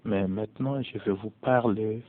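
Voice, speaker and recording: Slow-preaching man, tinny phone-line sound. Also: lowish voice, fairly even intonation. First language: English. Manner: slow, deliberate preaching in non-native French. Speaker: prophet. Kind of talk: prophetic preaching. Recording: tinny phone-like audio, dry.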